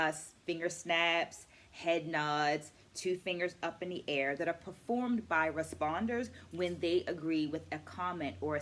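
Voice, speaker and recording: A middle-aged woman with a slightly low voice hosts a reading vlog. She speaks conversationally to the viewer, laying out each point in a measured, explanatory manner, much like a teacher. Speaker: host of a book-takeaway video series. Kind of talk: conversational book review to camera.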